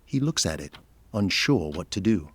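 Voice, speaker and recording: A man's voice: deep voice, sing-song, moderate pace, slightly rough, no echo. A man delivering scripted narration for an audio drama, setting each scene.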